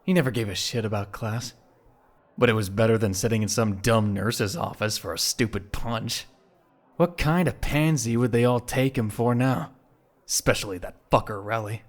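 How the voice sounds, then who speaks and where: A man's voice: lowish voice, moderate pace. A man giving scripted, dramatic story narration for an audio drama.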